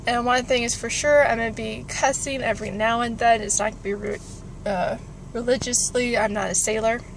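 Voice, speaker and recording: A woman's voice: a little high, steady tone, fairly quick, rough recording. A woman, chatting in an informal, rambling way for a car vlog, recorded on a phone inside a car. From California, United States. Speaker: vlogger. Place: inside a parked car with engine warming up. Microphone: phone at arm's length. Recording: car interior with clear background noise.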